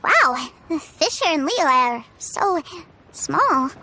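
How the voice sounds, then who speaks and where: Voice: high voice, sing-song, takes it slowly, background noise, exaggerated voice. A performer voicing a curious, innocent little boy in a video game, speaking in a wide-eyed, earnest way. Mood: eager. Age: young child character. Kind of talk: video game character acting. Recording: loud music under the voice.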